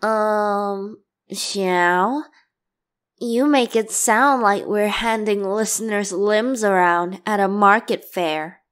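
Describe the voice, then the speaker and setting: A woman's voice: mid-range voice, slow, fairly bright tone. A woman voicing a playful, clingy boyish god and bard in a boyfriend ASMR roleplay, teasing and theatrical.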